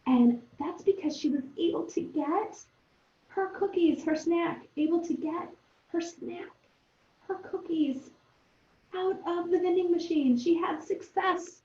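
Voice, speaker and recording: A woman teacher thinking aloud for young pupils in a conversational, expressive read-aloud lesson. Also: very high-pitched, a little slow. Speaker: elementary teacher. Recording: roomy, echoing, dull lo-fi sound.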